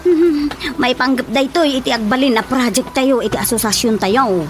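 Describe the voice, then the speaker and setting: A woman acting as an excitable older neighbor in a radio comedy drama, exclaiming and hemming, with an Ilocano accent. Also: high-pitched, normal speed, slight background noise, close to an everyday voice. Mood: excited, chatty. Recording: radio drama with faint music behind.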